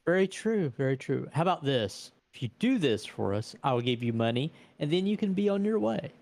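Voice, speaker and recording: A man's voice: fairly high pitch, big pitch swings, brisk, gravelly, a little mellow, no echo. A man voicing a composed, well-to-do vampire businesswoman who makes deals courteously in a tabletop roleplay. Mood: businesslike, inviting.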